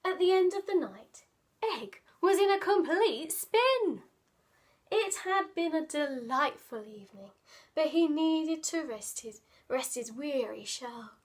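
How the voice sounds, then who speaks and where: High-pitched, slow-paced woman storyteller. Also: animated. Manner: dramatic, playful, often dropping to hushed asides. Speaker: children's storyteller. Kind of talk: expressive read-aloud of a picture book with playful asides.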